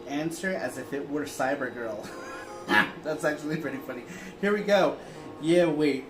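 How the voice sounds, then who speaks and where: A man's voice: a little high, normal speed. Man, co-host, casual chat, reads viewer letters aloud, echoey room, music behind. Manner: playful, laughs often, reads long letters aloud. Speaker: co-host reading viewer answers.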